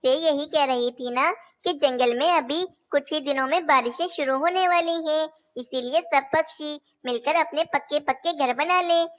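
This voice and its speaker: A woman's voice: sits high, moderate pace, hardly any pauses, natural voice. A woman voicing young female cartoon birds, caring and a little bossy, in a childlike, declamatory way. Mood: questioning. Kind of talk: Hindi animated children's story dialogue.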